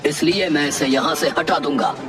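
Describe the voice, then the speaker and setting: A man's voice: fairly high pitch, normal speed, music in the background, natural voice. A man playing a strong, stubborn village wrestler in a Hindi cartoon, speaking in a blunt, domineering way.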